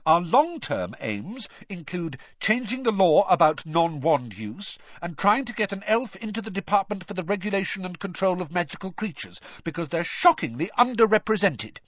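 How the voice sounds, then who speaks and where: Audiobook role: male reader as earnest, bossy teen girl crusader, raised pitch, emphatic and brisk delivery. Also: a bit bright, no room sound, highs cut off. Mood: loud, insistent. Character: bossy, earnest, impatient teenage schoolgirl crusader.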